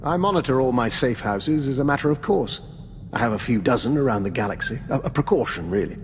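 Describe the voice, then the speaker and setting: An actor playing an urbane, calculating old doctor and veteran spy in a video game, with a refined, courteous, understated manner. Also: male voice, mid-range voice, animated, quick pace, big-room sound, slight background noise, natural voice. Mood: unconcerned, wry. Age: older. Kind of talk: video game dialogue acting.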